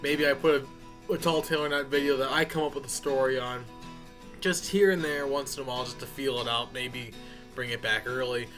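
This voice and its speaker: Man, bright clear-cut tone, mid pitch, informal vlog host, folksy phrasing, light background music. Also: fairly even intonation, brisk. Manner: informal, folksy, says y'all. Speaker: YouTube channel host. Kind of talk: casual unscripted channel update to viewers.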